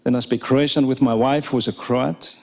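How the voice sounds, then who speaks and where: A man's voice: mid-range voice, fairly even intonation, normal speed, vocal fry. A man with a Finnish accent, a native Finnish and Swedish speaker, giving a chatty, hesitant keynote talk to a live audience and laughing often. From Finland. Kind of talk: conference talk. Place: conference hall with live audience.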